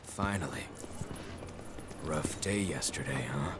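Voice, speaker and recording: Very deep stoic loyal guardian. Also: male voice. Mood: solemn, measured. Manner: measured and formal. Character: stoic, formal, loyal guardian of few words. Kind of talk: video game voice acting. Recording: loud music behind the voice.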